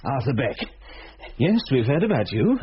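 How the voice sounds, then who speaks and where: An actor playing a genial, eccentric middle-aged retired schoolmaster in a radio drama, fussy and chatty. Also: male voice, medium pitch, very animated, moderate pace, slightly rough. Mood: welcoming, flustered. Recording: dull, faintly noisy radio drama recording.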